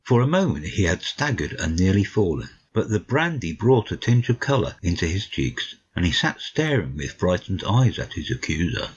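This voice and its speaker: A man with a husky voice giving a scripted narration of a classic story for an audiobook, slightly muffled. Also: sits low, big pitch swings, normal speed, hardly any pauses.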